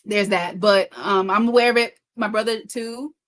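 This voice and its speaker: A woman hosting a casual livestream, reading out comments and chatting in a quick, stumbling way, often repeating her phrases. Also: mid-range voice, fairly even intonation, fairly bright tone. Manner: talks fast, stumbling and repeating herself. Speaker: livestream host and content creator. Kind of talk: casual live chat reading viewer comments aloud.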